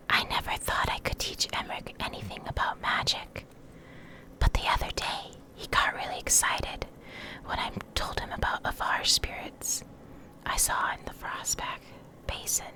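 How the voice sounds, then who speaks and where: Woman, hushed breathy whisper, unhurried ASMR reading, short deliberate phrases. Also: poor audio. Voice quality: soft, breathy unvoiced whisper. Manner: slow, deliberate, pausing between short phrases. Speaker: ASMR creator reading game lore.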